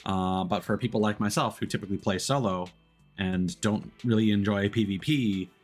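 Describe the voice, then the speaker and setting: A man chatting casually while live streaming a game, explaining things in a rambling, halting way. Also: lowish voice, soft background music. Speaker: live streamer playing games. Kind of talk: casual chat while gaming.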